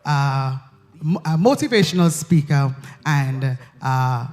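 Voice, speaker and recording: A man co-hosting a faith show, giving a formal introduction slowly and with many hesitant pauses. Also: mid-range voice, animated, a little mellow, big-room sound. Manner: slow, halting, many "uh" pauses. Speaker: co-host introducing the host.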